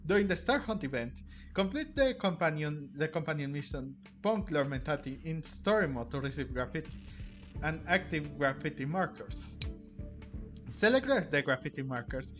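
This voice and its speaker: A male gamer with a fairly high, very animated voice narrates his playthrough. He reads on-screen text in a halting, stumbling way and reacts to it, with game music clearly behind him. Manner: halting, stumbling read-aloud. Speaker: gaming streamer. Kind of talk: let's-play, reading game text aloud with reactions. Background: video game music.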